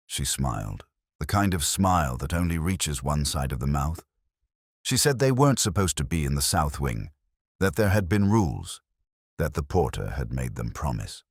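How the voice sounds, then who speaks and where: A man plays a world-weary middle-aged detective telling his own mystery story. His voice sits extremely low yet moves expressively through each phrase. The delivery is reflective and literary, recorded close and dry. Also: very animated, moderate pace, dry recording, exaggerated voice. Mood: brooding, reflective. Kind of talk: scripted first-person mystery narration.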